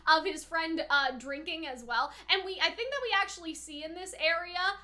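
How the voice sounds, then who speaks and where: Female reaction host, high-pitched and bright, informal and speculative, talking through theories conversationally. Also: normal speed. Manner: informal, speculative, frequent "like" and "right?". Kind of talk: casual anime reaction and commentary.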